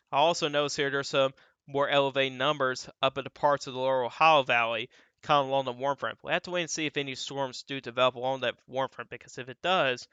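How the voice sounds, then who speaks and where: A man's voice: fairly high pitch, bright, forceful tone, clean tone, no room sound, dull audio. Stuffy young man talking weather models. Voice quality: stuffy, dry-throated. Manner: loose and conversational, repeats filler phrases. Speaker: weather channel host. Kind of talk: casual weather forecast commentary over model maps.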